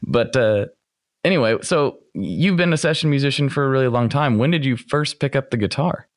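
A man hosting a podcast interview who talks in a chatty, joking way with a smooth radio-style delivery. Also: mid-range voice, expressive, fairly quick, dry recording, clean studio sound. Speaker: podcast host. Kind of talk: casual interview chat.

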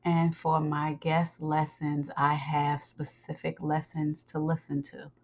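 Scratchy-voiced woman, low and slow, muffled recording. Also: little pitch movement. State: recovering sore throat. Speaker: podcast host and religious teacher. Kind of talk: informal spoken podcast announcements.

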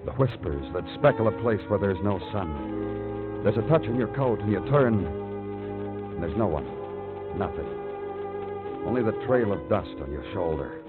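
A hard, world-weary American detective, low and clipped in the manner of 1950s radio crime drama. The recording is muffled and old, with music around it. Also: male voice, lively intonation, unhurried, long pauses. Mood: brooding. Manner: clipped, accusatory, moody dramatic delivery. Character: hard, world-weary male big-city police detective.

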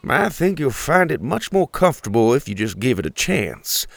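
A steady, kindly horse who speaks in a calm, reassuring tone, as a man plays him. Close and dry. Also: medium pitch, normal speed, gravelly, no room sound, heavily acted.

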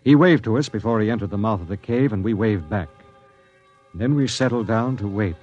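An actor playing a stern expedition captain who narrates an old-time radio drama in a measured, authoritative way. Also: male voice, lowish voice, faint music behind, close to an everyday voice. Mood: measured storytelling. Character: stern, commanding expedition captain recounting a grim tale. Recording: dull vintage radio recording.

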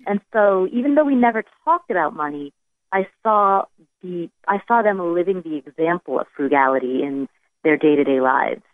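A woman's voice: fairly low pitch, animated, normal speed, no echo. An American woman giving conversational, explanatory answers as a podcast guest, heard over a phone-like connection. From United States. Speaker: personal finance expert, podcast guest. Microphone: remote phone-like connection. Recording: dry, phone-like band-limited call.

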